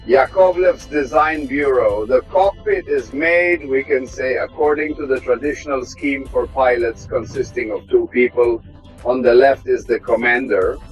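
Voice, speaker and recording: A middle-aged man, a test pilot from Russia, giving a measured, technical tour of an aircraft cockpit. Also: mid-range voice. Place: aircraft cockpit inside a hangar. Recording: slightly dull sound, faint music behind.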